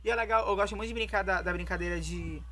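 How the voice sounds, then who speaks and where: A man's voice: high-pitched, quick pace, crowd noise. A young man co-hosting a casual chat, joking, crude and theatrical in his banter. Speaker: podcast co-host.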